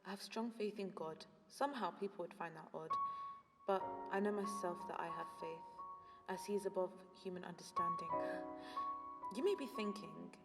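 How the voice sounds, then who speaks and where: A woman's voice: fairly low pitch, moderate pace, vocal fry. A young woman in her teens delivering a confessional, partly rhymed spoken-word monologue, as if leaving a reflective message for a friend. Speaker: student narrating an audio journal. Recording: long reverb with music behind. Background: music.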